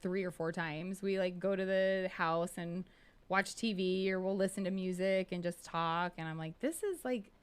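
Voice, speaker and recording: A woman's voice: on the low side, normal speed, clear, resonant tone. A woman in her forties chatting casually and candidly as a podcast co-host, swearing freely. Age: 40s. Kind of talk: casual chat.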